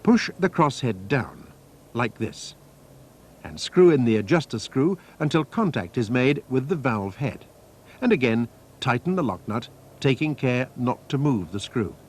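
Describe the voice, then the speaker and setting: A man reading a scripted technical training film narration in a measured, precise, instructional manner. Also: mid-range voice, very animated. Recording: noisy archive training-film soundtrack.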